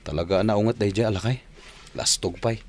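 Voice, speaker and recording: An actor playing a meek, hesitant farmer with an Ilocano Filipino accent in a radio drama. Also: male voice, lowish voice, brisk, a little hiss or hum, close to an everyday voice. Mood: aggrieved. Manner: hesitant, deferential. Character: meek, respectful farmer nephew, intimidated husband.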